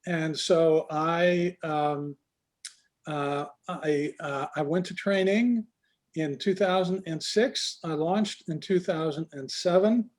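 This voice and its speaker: Man, sixties, somewhat high voice, reflective, hesitant with fillers, interview guest. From Israel, raised in Canada. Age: 60s. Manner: reflective, halting with many fillers. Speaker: guest, business coach. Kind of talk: personal life story in conversation.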